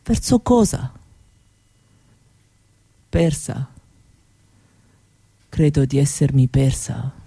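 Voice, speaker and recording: An actress playing a weary, bitter Roma mother who begs, speaking halting Italian with a Roma accent in a theatrical monologue. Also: very deep voice, expressive, creaky, warm tone, slightly rough, exaggerated voice. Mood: tired, lost. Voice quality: broken, foreign-sounding Italian. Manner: slow, repetitive, halting.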